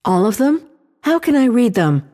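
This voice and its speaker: A woman's voice: a little high, big pitch swings, moderate pace, mellow. Polite female AI with lilting delivery. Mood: literal, formal. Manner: formal, helpful, literal. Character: polite, literal female shipboard AI assistant named Selena. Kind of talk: full-cast sci-fi audio drama acting.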